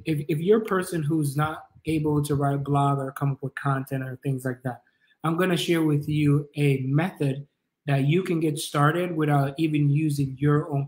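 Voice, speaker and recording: Jamaican man, thirties, coach and host, fairly high voice, casual live tutorial, informal rambling delivery. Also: a little mellow. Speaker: online business coach and livestream host. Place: kitchen or living room at home, indoors.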